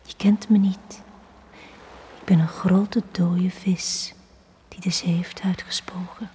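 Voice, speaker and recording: An actress playing a drowned young girl in a radio play, telling her story in a hushed, breathy whisper. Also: on the low side, flat delivery, slow, slight background noise. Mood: bitter, intense. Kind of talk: dramatic radio play monologue.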